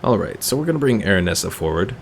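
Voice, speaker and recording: Casting: male game streamer, low gravelly voice, laid-back casual play commentary, thinking aloud. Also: very animated, quick pace, noisy background. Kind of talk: casual gameplay commentary, reading viewer comments.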